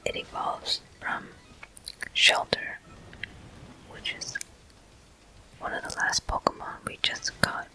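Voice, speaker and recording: A whispering game host giving soft, deliberate ASMR commentary with frequent pauses, close to the microphone. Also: rough recording. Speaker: host of gameplay walkthrough.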